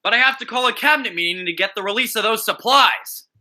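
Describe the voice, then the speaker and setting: A young man acting a bombastic, deluded gung-ho commander in a high school radio play, giving clipped, pompous military orders. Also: fast talker, sharp tone. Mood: commanding, pompous.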